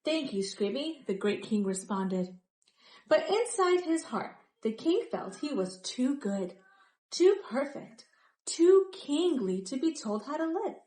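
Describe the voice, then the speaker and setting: High-voiced woman reading a children's storybook. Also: very animated, takes it slowly, dark tone, poor audio. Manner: dramatic, emphatic word-by-word delivery. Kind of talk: expressive children's storybook narration.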